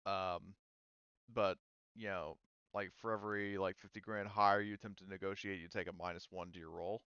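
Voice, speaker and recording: A man running a tabletop roleplaying game as game master, narrating casually off the cuff in a halting, rambling way. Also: deep voice, little pitch movement, pushed and bright, clean tone, no echo, lo-fi sound. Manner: halting, rambling delivery heavy with hedges.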